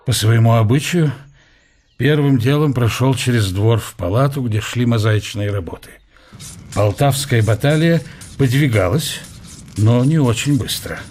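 A man narrating a literary radio drama in an expressive scripted reading. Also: medium pitch, measured pace.

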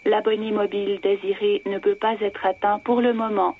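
A woman's voice: mid-range voice, level tone, brisk. A woman as a recorded mobile network announcement, formal and impersonal, heard through a telephone. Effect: telephone filter. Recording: tinny, noisy telephone line.

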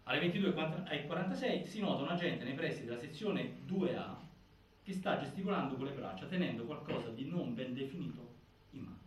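A man performing as a solemn theatre narrator, reciting a case file in formal, deliberate, declamatory phrases. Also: medium pitch, expressive, natural voice. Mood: grave, insistent. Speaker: narrator in a stage inquiry play. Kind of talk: scripted theatrical documentary narration. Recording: echoey, distant, faint noise.

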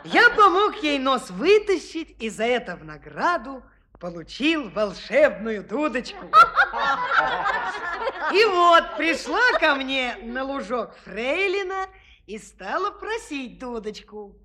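Cheeky high-pitched shepherd lad. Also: male voice, very animated, takes it slowly, heavy background noise, heavily acted. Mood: teasing, amused. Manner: mock-innocent, teasing storytelling. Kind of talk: theatrical children's audio play acting.

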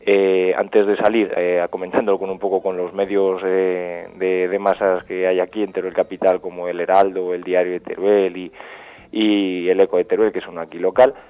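A man from Spain, calling into a radio show by phone, talking haltingly with many fillers and restarts. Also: low-pitched, flat delivery, warm tone. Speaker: caller from a local radio. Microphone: telephone. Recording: phone line.

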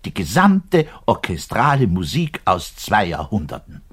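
An actor playing a self-important, frustrated orchestral double bass player in a radio monologue, pedantic, rambling and emphatic, with a husky voice. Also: male voice, huge pitch swings, measured pace, a bit bright, exaggerated voice. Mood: proud, lecturing. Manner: pedantic, rambling, emphatic, shifting to muttered asides. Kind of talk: theatrical monologue addressed to a listener.

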